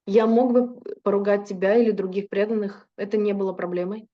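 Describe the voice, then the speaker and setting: A woman's voice: medium pitch, steady tone, fairly quick, dull audio. A woman interpreting a lecture consecutively, fluent but with frequent filler hesitations.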